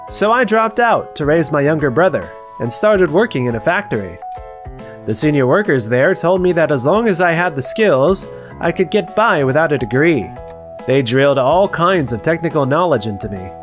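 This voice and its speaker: A man playing a calm, capable young factory worker in a scripted manga dub, composed and matter-of-fact. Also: on the high side, moderate pace, faint music behind, lightly acted.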